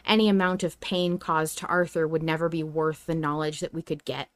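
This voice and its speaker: A woman's voice: low voice, level tone, brisk. A woman hosting a podcast in casual debate, speaking in an emphatic, opinionated way with some swearing. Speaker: podcast host.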